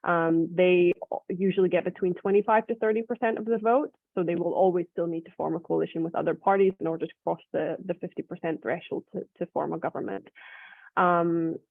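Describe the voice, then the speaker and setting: A woman's voice: lowish voice, steady tone, brisk, vocal fry, no echo. A woman with a mixed Scottish and Israeli accent, giving an explanatory webinar talk with many filler ums. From Scotland. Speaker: guest speaker, communications director. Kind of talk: webinar presentation. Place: home or office in Israel. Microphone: video call. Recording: dry, tinny phone-like line.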